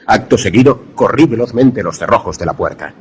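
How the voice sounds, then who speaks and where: An actor playing a wary, grave protagonist who narrates a radio suspense drama formally. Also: male voice, fairly low pitch, expressive, fairly quick, background noise, lightly acted. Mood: tense. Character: wary male narrator-protagonist, secret lover in danger.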